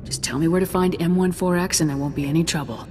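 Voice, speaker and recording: Game hero lieutenant, played by a woman: cool, steady military delivery, clear music underneath. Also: lowish voice, quick pace, close to an everyday voice. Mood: firm. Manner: calm, matter-of-fact. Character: cool, confident soldier lieutenant of the Republic. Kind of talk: video game voice acting. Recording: music behind the voice.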